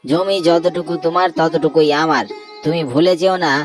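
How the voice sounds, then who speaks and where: A man's voice: fairly high pitch, fairly even intonation, moderate pace, faint music behind, natural voice. A man voicing a wronged young man in a Bengali horror cartoon, insistent and aggrieved in manner. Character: wronged young man, murdered, now a vengeful restless pond ghost.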